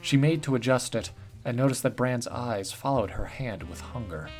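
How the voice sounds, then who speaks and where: A man narrating a written story in a steady, even storytelling read. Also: mid-range voice, normal speed. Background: faint guitar music.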